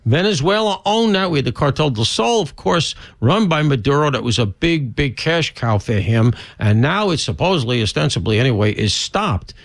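A man's voice: mid-range voice, lively intonation, normal speed, hardly any pauses, no room sound, studio quality. A male radio host interviewing a guest on a political talk show, chatty and opinionated.

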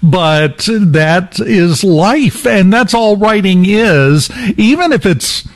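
A man hosts a relaxed radio interview, his voice sitting a bit high for a man. He talks emphatically and jokes easily, leaning into the points he wants listeners to remember. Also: slow pace. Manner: emphatic, animated, joking. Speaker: radio host.

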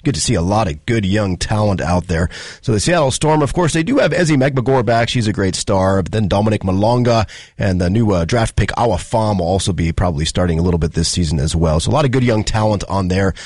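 Radio co-host, male, lowish husky voice, opinionated, wry, lively pitch. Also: quick pace, no room sound, studio quality. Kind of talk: casual radio news chat and sports reading. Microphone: studio microphone.

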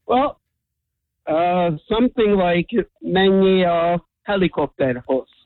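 Man, caller, native Hungarian speaker, easygoing, high voice, tinny phone audio. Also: animated, takes it slowly, no room sound. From United States.

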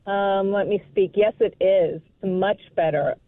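A male caller with a nasal, high voice, heard over a thin phone line. He sounds self-conscious and laughs easily. The voice is dry and close. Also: a little slow, dry recording. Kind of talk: casual phone chat.

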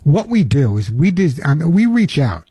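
A man's voice: fairly high pitch, very animated, normal speed, mellow, slightly rough, no echo, clean studio sound. An older man hosting a long-running pet radio show, talking in an emphatic, folksy monologue that repeats its points. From Long Island, New York.

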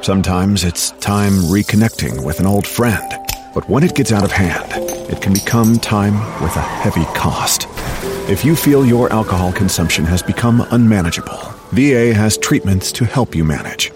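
Man, slightly low voice, calm sincere PSA narration over music. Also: normal speed. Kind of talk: scripted public-service narration.

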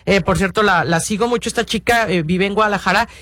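A man's voice: high-pitched, fast, pushed and bright, no room sound, clean studio sound. A man hosting a radio show, talking earnestly and ramblingly to parents, with many restarts and fillers. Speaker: radio host. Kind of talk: casual radio talk to parents.

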